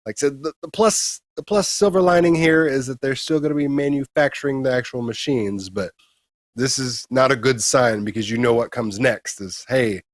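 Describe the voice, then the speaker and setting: A man's voice: mid-range voice, moderate pace, no echo. A man with an American accent talks through gaming news as a show co-host. His voice sits in a middle register and the delivery is casual discussion. The sound is dry, with little trace of a room. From United States.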